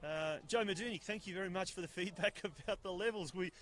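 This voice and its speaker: Man, booming excitable football commentator, high voice, outdoor ground, handheld mic held far away. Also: quick pace. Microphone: handheld mic held at arm's length.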